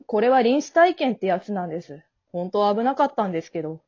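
A woman's voice: medium pitch, animated, quick pace, a little mellow, lo-fi sound, natural voice. A woman acting in an amateur radio drama as a polite, kindly male guide of the afterlife, speaking in an earnest, businesslike, reassuring way. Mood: calm, explanatory.

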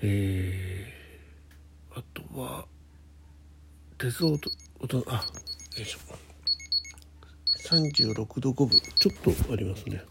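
A man hosting a casual solo morning radio show, chatting in a halting way, thinking aloud and murmuring to himself, with a husky, gravelly voice. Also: on the low side, animated, heavy background noise. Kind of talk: casual solo chat with health log and listener letters.

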